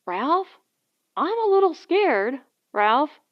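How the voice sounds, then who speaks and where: A woman's voice: high voice, big pitch swings, slow pace, lo-fi sound, voice pushed up, heavily acted. A woman voicing an anxious but plucky young wife in a space adventure audiobook, questioning and vulnerable. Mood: nervous.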